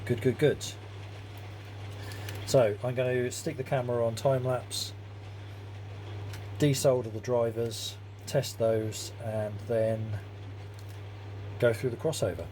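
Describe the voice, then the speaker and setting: Man, mid-pitched, informal and matter-of-fact workshop explainer, faint noise behind. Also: level tone, slow pace, pauses often, dark tone. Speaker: host, audio repair hobbyist or technician. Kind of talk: casual hands-on workshop commentary.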